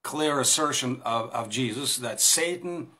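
A man's voice: mid-range voice, a little slow, pushed and bright. Man with a Russian accent teaching. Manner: hesitant, many fillers and restarts, gestures while talking. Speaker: Bible teacher. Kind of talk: informal recorded Bible talk. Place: small indoor room against a plain wall. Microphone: phone camera at arm's length.

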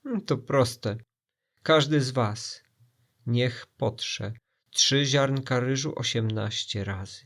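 A man's voice: medium pitch, slow, fairly bright tone. A man voicing a demanding, theatrical fake genie who speaks with grand confidence and mock indignation in a storybook tale. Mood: lofty.

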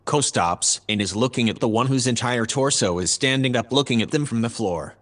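A man playing a composed, formal artificial assistant in a sci-fi audio drama, reporting in a measured, matter-of-fact way. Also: medium pitch, fairly bright tone, natural voice. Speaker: voice actor.

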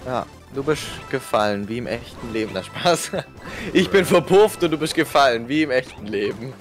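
Animated young man gaming, swinging pitch. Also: normal speed. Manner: voice climbs high when panicking. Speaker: let's player. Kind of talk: casual co-op gaming banter. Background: video game music.

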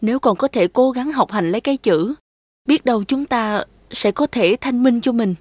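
A woman voicing a meek, weary widowed mother in an animated folktale, humble and resigned as she speaks. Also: on the high side, moderate pace, no room sound, clean studio sound, close to an everyday voice.

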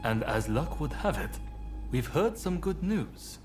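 A man playing a composed, courteous young samurai rebel leader in a video game, speaking in a polite, measured and earnest way. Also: fairly high pitch, big pitch swings, soft background music. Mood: urgent, businesslike. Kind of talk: video game cutscene acting.

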